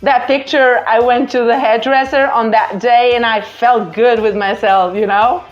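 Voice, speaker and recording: A woman's voice: on the high side, steady tone, unhurried, dull audio, faint music behind. A Portuguese woman in her forties hosting a podcast, chatting casually with hesitant fillers. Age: 40s. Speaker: podcast host. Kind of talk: casual chat.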